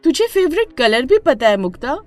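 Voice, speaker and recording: A woman's voice: high voice, big pitch swings, normal speed, faint music behind, pitched above the performer's own voice. A woman voicing a jealous, hot-tempered young wife in a melodramatic story, with emotional outbursts. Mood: jealous, indignant. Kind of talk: melodramatic Hindi moral story acting.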